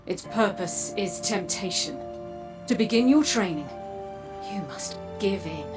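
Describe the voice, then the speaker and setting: An actress playing a mysterious android warrior in a video game, a calm, grave mentor with measured, portentous delivery. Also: female voice, mid-range voice, over music, lightly acted. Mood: grave, persuasive. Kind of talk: video game cutscene acting.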